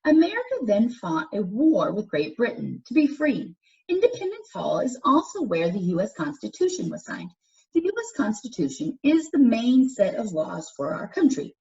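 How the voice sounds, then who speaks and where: A woman teacher reading educational text aloud for children with deliberate, instructional phrasing. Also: a little high, sing-song, vocal fry, warm tone. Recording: dull, distant microphone pickup.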